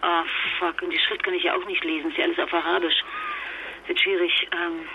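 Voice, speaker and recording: An actress playing a fragile, exhausted wife and mother stranded abroad, speaking haltingly in a naturalistic radio drama through a telephone filter. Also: lowish voice, level tone. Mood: flustered, hurried. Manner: halting, stammering, emotionally fragile. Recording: noisy muffled phone-line sound.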